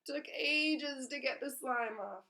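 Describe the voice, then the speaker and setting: A woman's voice: high voice, takes it slowly, sharp tone, voice pushed up, full character voice. A woman voicing a gloomy, grumbling schoolboy wizard from a storybook, complaining emphatically. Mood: groaning. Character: gloomy, grumbling schoolboy wizard, put-upon best friend.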